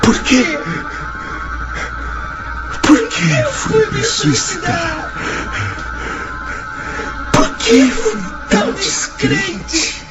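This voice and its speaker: A man's voice: extremely high pitch, big pitch swings, drawn-out words, long pauses, heavily acted. A Brazilian actor playing the desperate, mangled spirit of a male suicide in a radio drama, wailing and pleading melodramatically. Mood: anguished horror. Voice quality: wailing, breaking into shouts and sobs. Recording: dull lo-fi audio under loud music.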